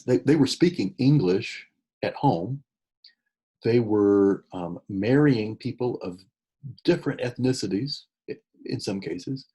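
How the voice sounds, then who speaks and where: A middle-aged man speaks in a low, dark and mellow voice with a husky edge. He talks without a script about church work, stopping often for fillers and restarting sentences. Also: rough recording. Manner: halting, with many fillers and restarts. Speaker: church consultant and author. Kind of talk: unscripted talk on church ministry.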